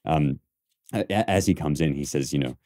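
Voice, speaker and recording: A man's voice: rumbling low, normal speed, warm tone, dry recording, clean studio sound. A man hosting an informal literary lecture, explaining conversationally and often checking in with short rhetorical questions. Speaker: podcast host.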